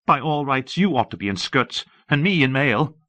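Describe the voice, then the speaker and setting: A man's voice: expressive, moderate pace, sharp tone, dry recording. A man voicing a proud, scornful queen in an audiobook, with pointed, contemptuous delivery. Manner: contemptuous, pointed, raised pitch. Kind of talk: audiobook character acting.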